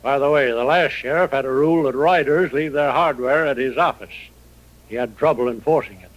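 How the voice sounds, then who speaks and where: An actor playing an earnest, practical mayor in an old-time radio western, explaining things in a conciliatory way. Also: male voice, mid-range voice, brisk, natural voice, poor audio. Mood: earnest, businesslike.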